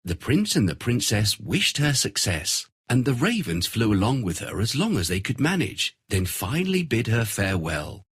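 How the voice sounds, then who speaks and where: A man narrating a fairy tale in an expressive, scripted storytelling style. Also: medium pitch, moderate pace, hardly any pauses, breathy edge, dry recording.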